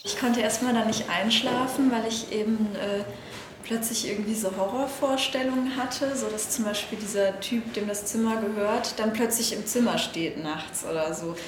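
A woman recounts something personal in loose, everyday speech. The room is echoey, and ambient noise is clearly audible behind her. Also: medium pitch, normal speed. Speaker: hotel guest. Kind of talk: casual personal recollection.

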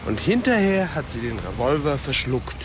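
An actor playing a flippant, sceptical police assistant in a German radio crime drama, with a teasing, sarcastic manner. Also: male voice, medium pitch, sing-song, moderate pace, background noise, close to an everyday voice. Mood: mocking.

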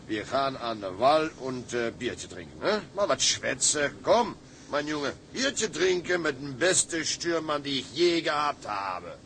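A man playing a gruff, fatherly sea captain in a radio drama, with a German accent and German words mixed in. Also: medium pitch, lively intonation, measured pace. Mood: coaxing. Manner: mixes German words into Dutch. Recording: radio drama with faint background noise.

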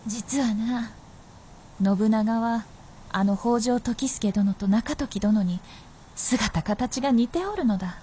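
High-voiced, teasing fox princess. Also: female voice, slow, warm tone, slight background noise. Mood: teasing, eager. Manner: teasing, old-fashioned haughty speech. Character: playful, confident shapeshifting female fox princess, centuries old. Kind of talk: Japanese radio drama acting.

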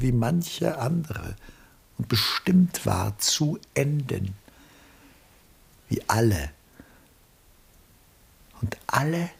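An actor playing an ageing, reflective former lover in a radio drama, measured and confessional in his phrasing. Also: on the low side, exaggerated voice. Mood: wistful, intense. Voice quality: husky, breathy, gravelly. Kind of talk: 1960s German radio play acting.

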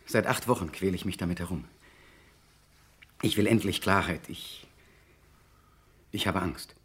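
A man's voice: low voice, a little slow, slightly rough. A man acting an anxious, tormented client in a 1960s German radio play, speaking hesitantly and broodingly as he confides. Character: anxious, tormented man seeking a private detective's help.

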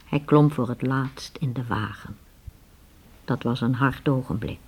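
Husky, low-voiced woman storyteller. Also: unhurried. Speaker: storyteller narrator. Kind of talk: dramatic scripted narration for radio play.

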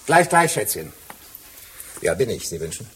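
A gruff, suspicious householder in a radio crime play. He is impatient, his pitch moves sharply up and down, and faint noise lies behind him. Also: sing-song, moderate pace, fairly bright tone. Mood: irritated. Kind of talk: German radio crime drama acting.

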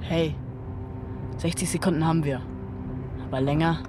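An actor playing a smart-mouthed, philosophising youngster in a radio drama, with dry, quick-witted slang. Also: mid-range voice, steady tone, music in the background, close to an everyday voice. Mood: urgent. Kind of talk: naturalistic radio drama dialogue, colloquial. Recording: car noise under the voice.

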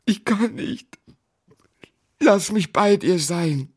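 An actor playing a grieving young husband who tells his life story in a radio play, sombre and measured. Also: high-pitched, lively intonation, darkish tone, breathy edge, no room sound, studio quality, heavily acted. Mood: pleading, sorrowful. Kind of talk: literary radio play narration and acting.

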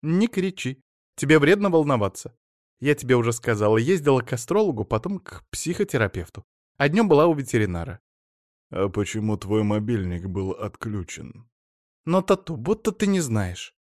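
A man voicing an excitable, flighty wife who chatters fast and breathlessly, recorded dry and close. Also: medium pitch, dry recording, lightly acted. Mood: defensive.

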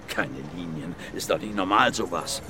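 An actor playing a gruff, blunt violent brawler in his fifties under questioning in a radio drama, plain-spoken and repetitive. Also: low-pitched, a little slow, noisy background. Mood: defiant, stubborn. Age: 50s. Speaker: suspect being questioned.

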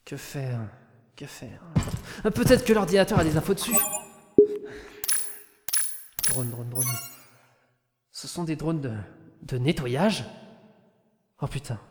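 A man's voice: a little high, animated, measured pace, pauses often, slight background noise. A man acting in an audio drama as a frantic, cursing lone space pilot who mutters to himself. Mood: anxious muttering. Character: panicked, foul-mouthed lone space pilot trapped with a killer drone. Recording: echoey reverberant hall, loud music behind.

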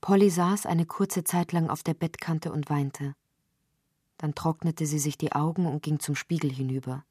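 A woman with a dark, husky voice narrates literary fiction. Her delivery is deliberate and measured, and the sound is very dry, as in a padded studio. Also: low voice, steady tone, no echo. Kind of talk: literary scripted narration, radio reading.

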